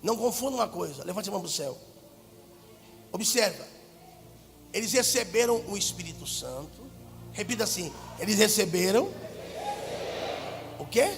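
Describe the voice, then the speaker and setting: Brazilian preacher guy, really high gravelly voice, shouts then goes quiet, big echoey church with faint music. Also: expressive, normal speed, pauses often. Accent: Brazilian Portuguese. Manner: fervent, swings from loud shouts to low asides. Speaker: pastor. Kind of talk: preaching a sermon. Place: large church hall. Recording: hall reverb with faint music.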